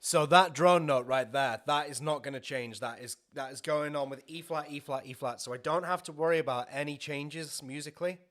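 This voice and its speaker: Man with a cold, clearing his throat. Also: fairly high pitch, fairly quick, hardly any pauses, fairly bright tone. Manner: short phrases with tag questions, frequent throat-clearing. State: full of a cold, hoarse. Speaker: guitarist streaming his practice. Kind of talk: casual teaching while jamming. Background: faint backing music.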